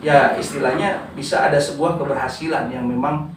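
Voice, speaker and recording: A man in his thirties from West Java, giving unhurried interview answers full of small fillers, and he calls the interviewer "Pak". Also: medium pitch, steady tone, distant mic, slight background noise. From West Java, Indonesia. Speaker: founder of a community organization. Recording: echoey room, voice from off-mic.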